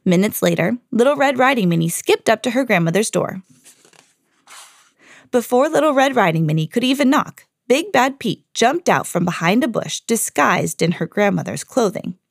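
Mom-type lady reading kids a fairy tale, super animated, dry close recording. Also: on the high side, normal speed, creaky, no echo. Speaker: podcast host and children's story reader. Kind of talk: animated scripted storytelling for children.

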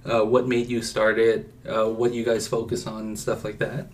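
A man hosting a podcast, asking questions in a relaxed way with hesitant fillers. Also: lowish voice, moderate pace. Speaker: podcast host. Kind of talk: casual interview chat.

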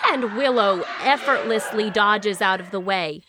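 A woman's voice: mid-range voice, measured pace, very noisy, lightly acted. A woman playing a gushing aunt who hosts a kids' game show in an audio drama. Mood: flustered cheering.

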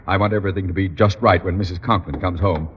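A man's voice: deep voice, steady tone, fast talker, breathy edge. An actor playing a pompous, stuffy middle-aged boss and father in a blustering, formal manner for a 1950s radio comedy. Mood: businesslike. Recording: muffled old radio recording, noisy, hall reverb.

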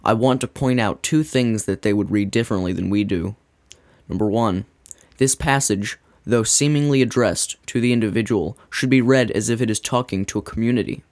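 A man reading a scripted devotional in a measured, earnest way. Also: fairly low pitch, no room sound. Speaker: devotional reader.

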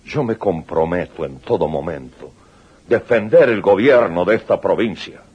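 A man's voice: deep voice, lively intonation, moderate pace, slightly rough, heavily acted. A man playing a proud, weary aging exiled military leader in a radio drama, grave and declamatory. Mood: fervent. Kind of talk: 1980s radio drama acting. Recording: muffled old radio recording.

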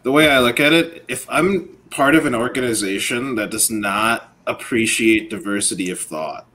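A man's voice: mid-range voice, normal speed, sharp tone. Thoughtful man, stammering starts. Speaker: podcast host. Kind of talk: casual chat.

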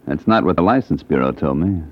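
Low-voiced, cocky small-time piano man. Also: expressive, brisk, no room sound. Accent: American. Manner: slangy, glib. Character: cocky small-time jazz pianist turned blackmailer. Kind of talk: 1940s-50s American radio detective drama acting. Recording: dull old radio drama recording.

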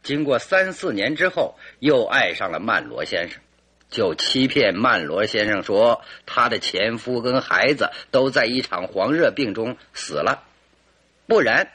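A confident detective in an old-fashioned radio drama. His bright voice swings widely in pitch, with a rough edge, as he lays out deductions and poses probing questions like a storyteller. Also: animated, unhurried, full character voice. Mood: explaining, probing. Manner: theatrical, leisurely deductive storytelling with rhetorical questions.

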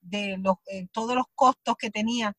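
A woman's voice: medium pitch, moderate pace, no room sound, studio quality. A woman actress from Puerto Rico with a Puerto Rican accent, telling anecdotes in an interview, acting out her own thoughts as she goes. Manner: animated storytelling, acts out her own thoughts in quotes. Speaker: actress, guest. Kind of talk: conversational interview.

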